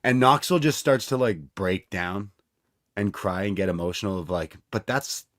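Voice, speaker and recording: A man's voice: deep voice, lively intonation, moderate pace, no room sound, clean studio sound. A man co-hosting a podcast, talking in a casual, rambling, conversational way. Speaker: podcast co-host. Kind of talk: casual chat.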